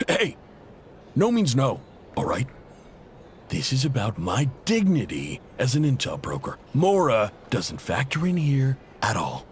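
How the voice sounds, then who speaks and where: A man's voice: medium pitch, huge pitch swings, unhurried, slight background noise. A man playing a proud, indebted intel broker in a video game, blunt, casual and guarded, with an exasperated edge.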